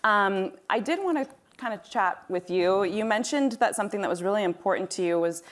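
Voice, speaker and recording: A woman's voice: medium pitch, lively intonation, a little fast. A woman reporter moderating a live panel, asking questions in a friendly, hesitant way.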